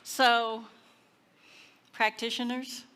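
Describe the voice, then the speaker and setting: Bright-voiced woman minister, very slow delivery. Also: fairly high pitch, level tone, smooth. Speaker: church minister leading a service. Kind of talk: informal spoken remarks to a congregation.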